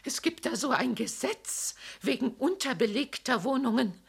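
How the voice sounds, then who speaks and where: An actress playing an elderly widow who lives alone, speaking in a plaintive, tearful way with a gravelly edge in a radio drama. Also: female voice, on the high side, lively intonation, normal speed, exaggerated voice. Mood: confiding. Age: older. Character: elderly widowed woman tenant, lonely and fearful. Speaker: witness.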